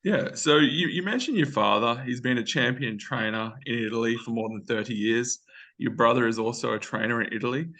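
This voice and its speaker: A man hosting an interview, asking questions and affirming briskly with frequent yeahs. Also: medium pitch, sharp tone. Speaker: host.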